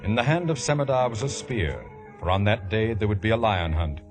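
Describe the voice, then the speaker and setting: An actor plays a solemn biblical storyteller with a fairly low voice. He narrates in measured scriptural phrases for 1950s radio. Music runs clearly beneath him on a vintage broadcast recording. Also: animated, lightly acted.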